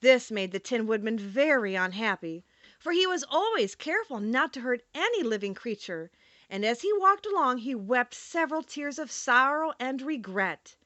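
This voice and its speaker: A woman narrating a children's classic with a lively, rising and falling voice. Her tone is bright and a little high, and it sounds dry and close to the mic. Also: normal speed, no echo. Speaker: audiobook narrator. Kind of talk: expressive audiobook narration.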